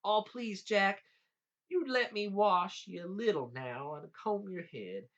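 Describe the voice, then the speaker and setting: A man's voice: high-pitched, animated, slow pace, fairly bright tone, lo-fi sound, pitched above the performer's own voice, full character voice. A man voicing a coaxing, falsely motherly old giantess in a folktale, with an Appalachian accent and a wheedling manner. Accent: Appalachian American. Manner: wheedling, cajoling.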